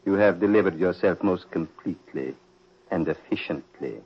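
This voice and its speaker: An old, muffled radio mystery recording carries a low male voice. a devilish aristocrat, urbane and unhurried, who speaks with sinister, mocking courtesy in the theatrical manner of forties radio. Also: husky. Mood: coolly menacing. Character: suave sinister prince, the Devil in gentleman's guise. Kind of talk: 1940s radio mystery acting.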